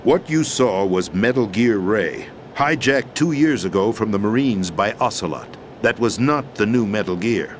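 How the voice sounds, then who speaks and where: An actor playing a weary, formal deposed president and schemer in a video game, explaining things in a measured, deliberate way. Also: male voice, lowish voice, lively intonation, a little hiss or hum, natural voice. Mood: grave, deliberate. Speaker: video game character in a cutscene. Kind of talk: dramatic expository video game acting.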